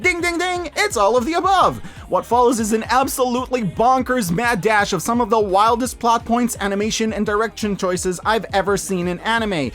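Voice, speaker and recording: Animated higher-voiced man reviewing with gusto. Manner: enthusiastic, emphatic, casual and profane. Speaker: host of a media review channel. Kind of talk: scripted review commentary.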